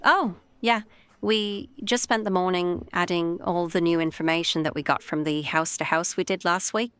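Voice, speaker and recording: A woman's voice: on the low side, fairly even intonation, normal speed, a bit bright, clean tone, no echo, natural voice. An actress playing a helpful, businesslike female police team member in an audio drama. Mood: matter-of-fact. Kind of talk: naturalistic audio drama dialogue.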